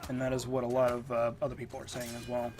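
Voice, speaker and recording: A congested man rambles through a casual game review, narrating over gameplay. His voice sits in an ordinary middle range. Loud game music and sound play under his talking. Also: medium pitch, completely flat delivery, fast talker. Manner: rambling, lots of fillers. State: stuffed up, congested. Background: game footage audio and music.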